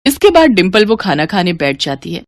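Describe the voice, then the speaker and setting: A woman's voice: fairly low pitch, big pitch swings, brisk, no echo. A woman telling a story as a scripted narrator.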